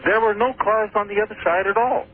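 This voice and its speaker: A man's voice: high-pitched, steady tone, fast. Hesitant male caller on a tinny phone. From Washington State. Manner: hesitant, repeating words. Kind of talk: radio call-in story. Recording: tinny phone line with faint noise.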